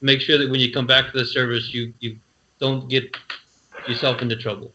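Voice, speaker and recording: A man's voice: medium pitch, flat delivery, a little fast, bright, forceful tone, lo-fi sound, a little hiss or hum. A man in a casual live-stream chat, plainly explaining practical know-how.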